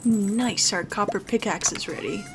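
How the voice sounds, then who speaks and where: A woman's voice: medium pitch, wildly swooping pitch, measured pace. A woman giving casual let's play commentary as the host of a gaming video. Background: music.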